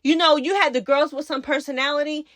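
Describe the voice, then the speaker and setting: High, bright-voiced sassy woman commentator. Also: steady tone, fast, no room sound, clean studio sound. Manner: sassy, slangy, emphatic. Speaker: host of a reality TV recap channel. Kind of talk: casual gossip commentary.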